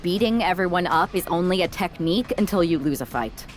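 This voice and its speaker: A woman's voice: lowish voice, expressive, brisk, soft background music, lightly acted. Dry, skeptical woman confidante in a game played by an actress. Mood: dry teasing. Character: candid, teasing young woman, wrestler's longtime confidante. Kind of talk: video game cutscene dialogue.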